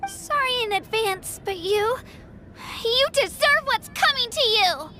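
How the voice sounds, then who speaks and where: A small, sweet girl hero from a fantasy game. The voice is extremely high and unhurried, bouncing up and down with plucky eagerness over music. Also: expressive, pushed and bright, a little hiss or hum, exaggerated voice. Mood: defiant. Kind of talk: animated fantasy game acting.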